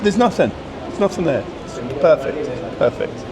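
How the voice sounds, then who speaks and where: A man's voice: a little high, lively intonation, normal speed, crowd noise. A man hosting a restaurant review vlog, chatting casually and teasing his friends at the table. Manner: teasing, joking banter. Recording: loud street noise behind.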